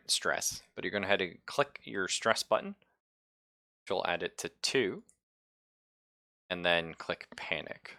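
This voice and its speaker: Low, gravelly man running a tabletop game. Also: sharp tone, no room sound, studio quality. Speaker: game master. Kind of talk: improvised tabletop game narration and casual chat.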